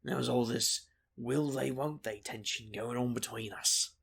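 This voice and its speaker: A brash male investigator with a husky, creaky voice, played by a man in an urban fantasy audio drama. He is a reckless charmer who jokes in a glib deadpan at a middling pitch. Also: unhurried, full character voice. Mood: glib, cocky.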